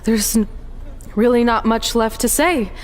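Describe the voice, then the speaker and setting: Woman playing a measured, high-voiced champion wrestler. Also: a little hiss or hum, close to an everyday voice. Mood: reflective, resolved. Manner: measured, reflective, deliberate. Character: seasoned champion woman wrestler giving sincere, wistful retirement speech. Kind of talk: video game cutscene voice acting.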